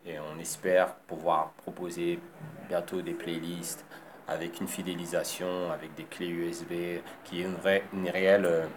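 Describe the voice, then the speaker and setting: A man born in Congo and raised in France, a designer answering interview questions in a halting way with many fillers. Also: low voice, little pitch movement, noisy background.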